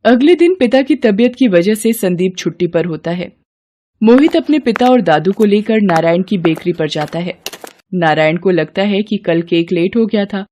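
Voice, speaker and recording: Female storyteller, soft dark tone, mid pitch, scripted narration for children's moral tales.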